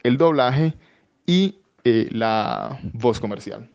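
A man with a Colombian accent explaining things as an interview guest, halting and repeating for emphasis, with frequent tag questions. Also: medium pitch, expressive, gravelly, darkish tone, smooth. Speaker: interview guest, professional voice-over announcer. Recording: dull, lo-fi audio.

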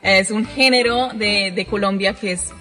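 A woman's voice: medium pitch, fairly even intonation, measured pace, bright, forceful tone. An American woman, a native English speaker, talks in a chatty, gushing way with plenty of fillers. Her voice carries a long reverb, and faint music sits behind it. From Chicago, United States. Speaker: tourist. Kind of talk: casual testimonial.

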